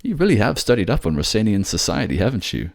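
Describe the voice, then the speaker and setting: A man acts out a flamboyant young nobleman from a fantasy audio drama. He is effusive and affectionate, with a swooping, animated delivery. Every phrase has a cheeky, teasing polish to it. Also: lowish voice, fast, creaky, voice pushed up. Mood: warm, admiring. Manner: effusive, teasing, calls listener pet names.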